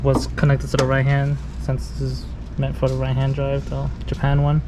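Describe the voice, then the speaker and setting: A man's voice: medium pitch, completely flat delivery, normal speed. A young man casually narrating a car part swap in his garage, swearing and laughing easily, recorded on a small camera. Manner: laid-back, swears casually, laughs often. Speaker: car builder filming a garage install. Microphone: GoPro and phone camera. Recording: noisy garage camera audio.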